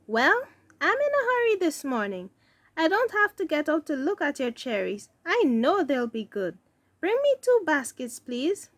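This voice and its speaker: A woman's voice: sits high, sing-song, a little slow, fairly bright tone, no echo, voice pushed up, heavily acted. A woman voicing a friendly, chatty lady customer in a storybook, effusive and full of exclamation. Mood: pleased, hurried.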